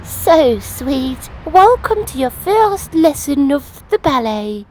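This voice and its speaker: A woman voicing a graceful female gazelle ballet teacher who speaks slowly and precisely, in a storybook read-aloud. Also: sits high, big pitch swings, a little mellow, slight background noise, pitched above the performer's own voice, full character voice, poor audio. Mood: elegant. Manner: slow, lilting and precise.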